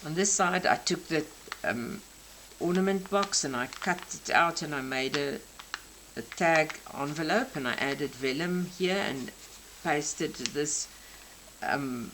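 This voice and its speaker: A South African woman in her sixties, a native Afrikaans speaker, gently explaining each detail of her craft journal. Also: deep voice, slow, background noise. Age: 60s. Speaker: craft channel host. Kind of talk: crafting show-and-tell flip-through.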